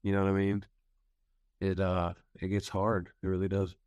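A man in his thirties, a guitarist guest, speaking haltingly and repeating phrases. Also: sits low, completely flat delivery, darkish tone, clean tone, no room sound, dull audio. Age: 30s. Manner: halting, repeats himself as he searches for words. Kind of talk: casual interview.

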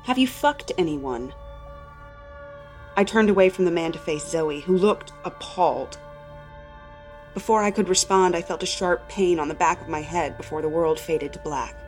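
A woman's voice: on the low side, moderate pace, faint music behind. A woman reading a first-person horror story as a dramatic narrator.

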